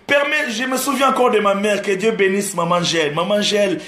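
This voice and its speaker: A man's voice: sits high, moderate pace, fairly bright tone, roomy sound. A man giving a testimony sermon, repeating phrases in a rising preaching cadence and slipping into Lingala. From Democratic Republic of the Congo. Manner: repetitive preaching cadence with laughter, mixing French and Lingala. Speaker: gospel singer preaching. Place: live-streamed church setting.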